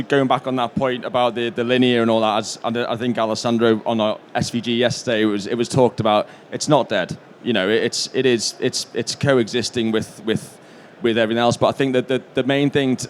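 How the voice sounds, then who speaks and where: A man speaking as a panelist, conversational and halting, often repeating words as he goes. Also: medium pitch, fairly even intonation. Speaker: panelist from a broadcaster. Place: conference event panel. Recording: live panel with background noise.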